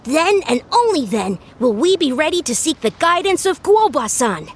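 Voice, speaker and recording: A woman's voice: high-pitched, big pitch swings, normal speed. A woman playing an earnest young beetle-battle master in a video game, making grandiose, archaic, formal declarations. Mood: solemn, grandiose. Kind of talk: animated video game voice acting.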